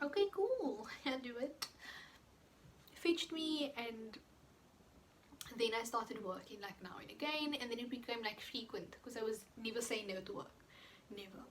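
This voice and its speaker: A woman's voice: on the high side, fairly bright tone, slight background noise, low-quality recording. A young woman chatting casually as she answers viewer questions in her vlog, full of fillers and quoted asides. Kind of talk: casual chat.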